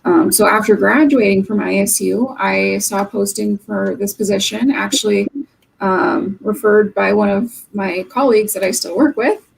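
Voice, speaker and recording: A woman's voice: mid-range voice, steady tone, normal speed, gravelly, slight background noise, poor audio. A young woman from Oregon, an admissions counselor, answering interview questions earnestly from her office over a video call, with frequent um's. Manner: earnest, fillers of um between points.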